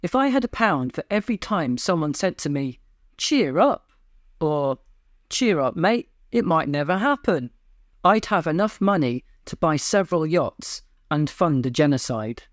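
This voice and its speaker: A man hosting his own channel, speaking in a flat, deadpan monotone in a scripted personal monologue. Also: a little high, a little slow, dry recording, studio quality. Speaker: YouTube channel host. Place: home.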